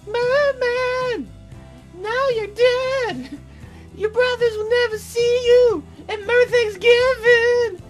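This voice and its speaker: A man putting on an over-the-top mock-mournful wail, taunting in a drawn-out, shrill singsong. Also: soft background music. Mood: mock grief. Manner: shrill, wailing, drawn-out delivery.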